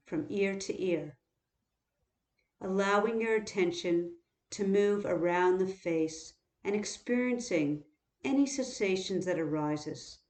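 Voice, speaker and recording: A woman guiding a body scan meditation in a calm, unhurried, instructional way, leaving long pauses. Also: mid-range voice. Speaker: meditation teacher. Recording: roomy, echoing sound.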